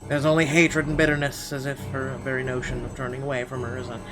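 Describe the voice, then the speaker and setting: A man's voice: mid-range voice, flat delivery, fast talker, rough recording. A man reading horror visual novel narration aloud in a theatrical, suspenseful way with emphatic phrasing. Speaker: gaming video host. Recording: music behind the voice. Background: game music.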